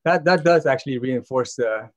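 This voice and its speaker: A man's voice: mid-range voice, moderate pace. A man who is a parent, moderating a live panel discussion and putting open questions to the other panelists. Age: adult parent.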